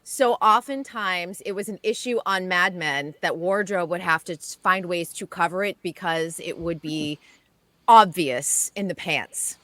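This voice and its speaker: A woman guest on a radio chat show, telling gossip in an animated way. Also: lowish voice, measured pace, dry recording.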